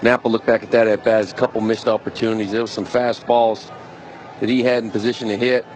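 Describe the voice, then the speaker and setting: Very fast-talking male baseball analyst. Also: on the low side, flat delivery, darkish tone, low-quality recording. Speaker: baseball color commentator. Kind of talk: live sports analysis commentary. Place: stadium broadcast booth. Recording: faint stadium noise behind voice. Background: faint ballpark ambience.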